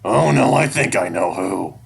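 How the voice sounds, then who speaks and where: A man's voice: low-pitched, animated, a little slow, creaky, slightly rough, exaggerated voice. Man voicing a stern, scolding animal father. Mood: disapproving, accusing. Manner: harsh, commanding, accusatory. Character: stern, scornful animal father berating his son.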